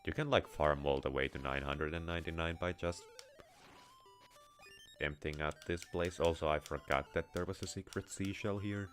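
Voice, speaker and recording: A man's voice: deep voice, steady tone, normal speed, faint music behind. A man with a Finnish accent, mixed with American and a little Russian, giving casual, rambling gameplay commentary with wry asides and offhand swearing. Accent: Finnish, with American and slight Russian touches. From Finland. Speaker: let's play streamer.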